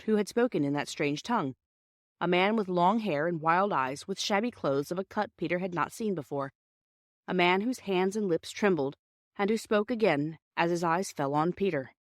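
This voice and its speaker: A woman's voice: on the low side, dry recording, studio quality. A woman narrating a children's story from a script, as an audiobook reader.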